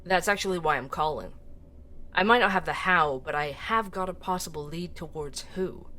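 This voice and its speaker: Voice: medium pitch, moderate pace, bright, forceful tone, natural voice. A performer playing a sardonic, irreverent investigative podcast host in an audio drama, talking in a casual, teasing, conversational way.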